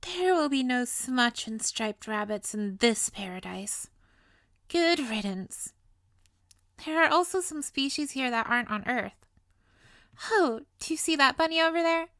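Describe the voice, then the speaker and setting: Woman acting a high-voiced, sweet, lovestruck yandere witch. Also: animated, a little slow, a bit bright, no echo, full character voice. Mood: cheerful, showing off. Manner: cutesy, gushing, flustered stammers, playful asides. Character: sweet, clingy, obsessively lovestruck young female witch. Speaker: audio roleplay voice actor. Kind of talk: intimate comedic roleplay acting.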